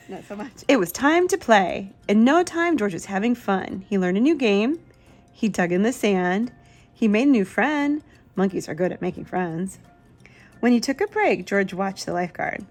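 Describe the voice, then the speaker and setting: A woman's voice: mid-range voice, a little mellow. A woman reading a children's picture book aloud expressively, with simple asides to a young listener. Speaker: children's book reader, channel host.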